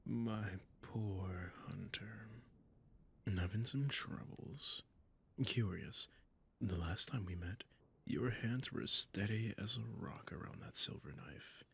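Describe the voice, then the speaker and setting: Man acting a breathy, gravelly, sadistic vampire lord. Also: lowish voice, a bit bright, full character voice. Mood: mocking, condescending. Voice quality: breathy, gravelly, near-whispering. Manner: slow, silky, mock-courteous with condescending endearments. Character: sadistic, possessive male vampire lord, suavely menacing. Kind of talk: intimate roleplay audio acting.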